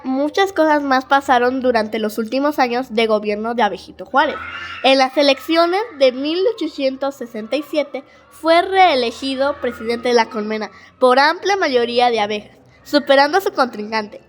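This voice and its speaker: High young Mexican storytelling voice. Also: normal speed. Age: child. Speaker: narrator. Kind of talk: scripted school audio drama narration.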